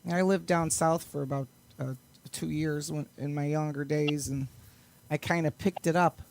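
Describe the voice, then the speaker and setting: Gravelly higher-pitched man chatting. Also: fairly even intonation, normal speed. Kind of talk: casual chat.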